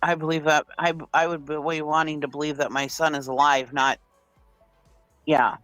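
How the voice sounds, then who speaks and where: Higher-voiced man chatting over faint music. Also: flat delivery, normal speed, a bit bright, dry recording. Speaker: live stream panelist. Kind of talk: casual true-crime reaction chat.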